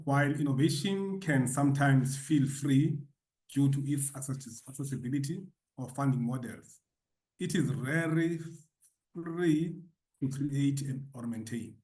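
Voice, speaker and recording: A man from South Africa reads an audience question aloud in a mellow, low-key voice. He sounds far away in an echoey room over a video call, and his tone stays polite and measured. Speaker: session moderator. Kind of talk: moderating a Q&A. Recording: echoey distant audio.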